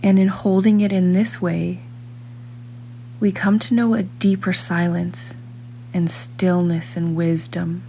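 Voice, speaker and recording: A woman reads a guided meditation in a soft-edged, mellow tone that sits a little low. She is calm and measured, leaving long pauses between phrases. A faint noise and hum runs behind her voice. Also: vocal fry. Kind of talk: guided meditation, scripted narration.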